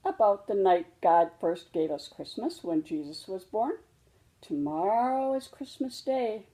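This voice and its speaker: Woman as a slow, gentle mother bear. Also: medium pitch, animated, close to an everyday voice, low-quality recording. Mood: loving. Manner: gentle, reassuring explaining. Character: patient, loving mother polar bear teaching her cub.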